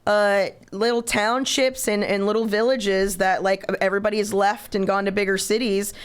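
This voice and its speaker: A woman's voice: medium pitch, level tone, moderate pace, smooth. A woman chatting casually as a guest on a comedy podcast, explaining things bluntly and emphatically with some swearing.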